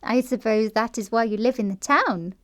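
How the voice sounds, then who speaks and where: An actress plays a young English country heiress with a high voice. She is prim and sweet on the surface but sharp-tongued and defiant, pointedly polite in the manner of a comic stage play. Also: moderate pace, close to an everyday voice. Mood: sweetly barbed. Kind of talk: stage comedy acting in an audio play.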